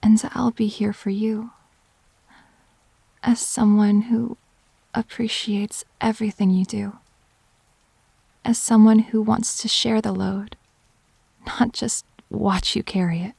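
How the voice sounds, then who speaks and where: Woman acting a remorseful girlfriend, slow and creaky. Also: mid-range voice, level tone, darkish tone, dry recording, heavily acted. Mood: tender, remorseful. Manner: halting, tender, confessional delivery. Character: grieving, apologetic girlfriend pleading to reconcile. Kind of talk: intimate dramatic audio roleplay.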